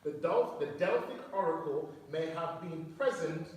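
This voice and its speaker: A man's voice: fairly high pitch, expressive, moderate pace. A man teaching a sermon to his fellowship, explaining words and asking the listeners questions. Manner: explanatory, reads quotes aloud, asks listeners questions. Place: church hall. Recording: very echoey room, mic far off, faint noise.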